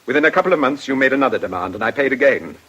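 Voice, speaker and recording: An actor playing a guarded, quietly defiant older man in a radio drama, speaking in a clipped, formal way. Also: medium pitch, lively intonation, racing, slightly rough, highs cut off. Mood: firm defiance. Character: guarded, stern older male blackmail victim, quietly defiant.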